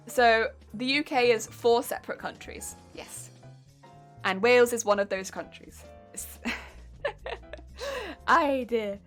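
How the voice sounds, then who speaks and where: Young English woman streamer, casual and self-critical, fillers and laughs, higher pitch. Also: big pitch swings, slow, pauses often, a bit bright, faint music behind. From England. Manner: chatty, self-critical, answers chat questions, frequent fillers and laughs. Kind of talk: casual live-stream chat while sewing.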